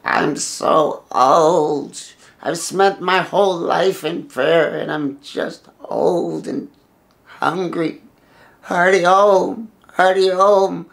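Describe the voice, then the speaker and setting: Pitiful old beggar played by a storyteller, bent and hungry, quavering, drawn-out pleas, gravelly edge. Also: medium pitch. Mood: pitiful, weary. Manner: slow, drawn-out pleading.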